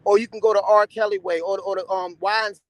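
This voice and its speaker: High-voiced man, earnest and dry. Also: level tone, quick pace, no echo. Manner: earnest, spiritual talk, stumbles over words. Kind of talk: casual chat.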